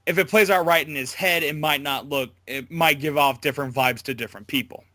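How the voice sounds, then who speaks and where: A man giving a casual interview as a voice actor, talking in a halting, loosely worded way. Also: a little high, bright, forceful tone, dry recording, studio quality.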